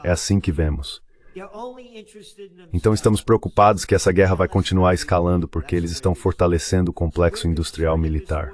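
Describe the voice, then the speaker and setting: A man's voice: low-pitched, steady tone, fairly quick, darkish tone. A man with a Brazilian accent delivers dubbed political commentary, blunt and emphatic, often repeating himself. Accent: Brazilian Portuguese. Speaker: guest commentator.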